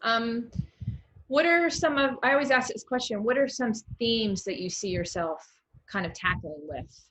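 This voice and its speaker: A woman's voice: fairly high pitch, moderate pace, a bit bright, poor audio. Chatty American woman hosting an interview. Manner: chatty, informal. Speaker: interview host. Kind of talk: casual conversational interview.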